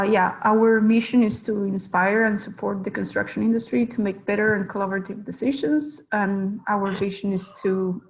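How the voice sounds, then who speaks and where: A woman's voice: medium pitch, gravelly, poor audio. Hesitant woman presenting a webinar. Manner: hesitant, many fillers and restarts. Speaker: researcher and consultant presenting. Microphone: video call.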